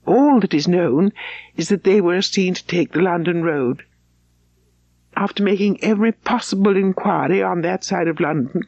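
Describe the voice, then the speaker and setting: A woman reading as an anxious, gentle young gentlewoman, muffled. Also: lowish voice, no echo. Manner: earnest, sorrowful, refined.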